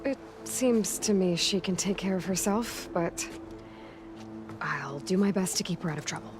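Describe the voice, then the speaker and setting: An actress playing a determined young huntress in a video game, speaking in a calm, plain, reassuring way. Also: female voice, on the low side, normal speed, natural voice. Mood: guarded, steady. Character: steady, determined young female huntress, an outsider. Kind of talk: cinematic video game acting. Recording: music behind the voice.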